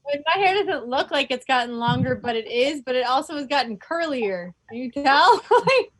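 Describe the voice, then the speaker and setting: High-voiced, playful woman chatting. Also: big pitch swings, moderate pace. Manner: playful, bantering. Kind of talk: casual chat on a video call.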